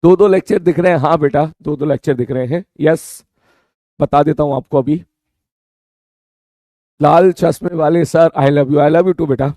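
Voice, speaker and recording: A man teaching an online class with a sore, hoarse throat, chatting casually with his students and often checking they follow. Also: on the high side, measured pace, pauses often, warm tone, dry recording, clean studio sound. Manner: chatty, repeats "theek hai" often, calls students beta.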